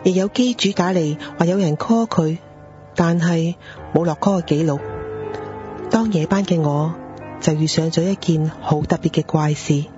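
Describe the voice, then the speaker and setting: A woman's voice: low-pitched, normal speed, natural voice. A woman with a Hong Kong accent playing a polite night-shift pager operator and storyteller in a radio drama. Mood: storytelling, eerie. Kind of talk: scripted radio drama narration. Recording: dull lo-fi audio under music.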